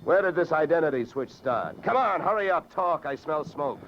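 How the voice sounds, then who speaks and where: A man's voice: fairly high pitch, expressive, normal speed, a bit bright, muffled recording, slight background noise. An American man playing a wisecracking insurance investigator in old radio detective drama style, clipped, sardonic and pushy. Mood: tough, threatening. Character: hardboiled, wisecracking male freelance insurance investigator. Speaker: lead actor and first-person narrator. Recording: vintage radio recording, music behind.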